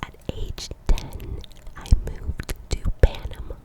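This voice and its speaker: A woman in her twenties from Colombia, whispering a casual ASMR chat and softly repeating trigger words. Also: slow. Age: 20s. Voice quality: breathy unvoiced whisper. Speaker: ASMR creator.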